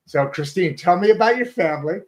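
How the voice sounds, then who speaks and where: A talk show host with a slightly raised pitch, talking in a loose, rambling way. He restarts his sentences often and keeps circling back before he gets to his point. It sounds like a casual home-studio setup. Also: lively intonation, fairly quick. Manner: chatty, rambling with many restarts and fillers. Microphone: video call setup from a home studio.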